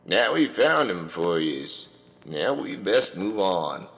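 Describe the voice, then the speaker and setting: A man playing a gruff, weary mountain father, stern and protective, in a comedic radio drama. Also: low-pitched, very animated, slow, a bit bright, long reverb tail, heavily acted. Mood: curt, resolute.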